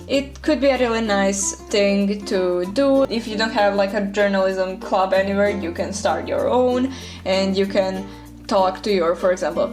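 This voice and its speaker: Teen girl over music. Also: mid-range voice. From Croatia. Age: teenager. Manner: friendly, casual, scattered filler words. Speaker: vlogger. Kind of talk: chatty list-style video voice-over. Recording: voice-over with clear background music. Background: background music.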